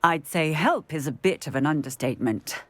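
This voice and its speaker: Forthright policewoman, widely swinging pitch. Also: lively intonation, normal speed, a bit bright, dry recording. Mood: impressed, composed. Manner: forthright, exclamatory. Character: brisk, commanding, good-hearted village policewoman in wizarding world. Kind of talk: video game cutscene acting.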